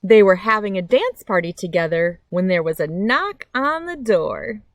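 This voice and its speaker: A woman's voice: medium pitch, expressive, moderate pace, no room sound. A woman chatting and reading a picture book aloud to children in a simple, friendly storyteller's way. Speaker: read-aloud host. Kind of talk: children's storybook read-aloud with casual chat. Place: outdoors by a meadow.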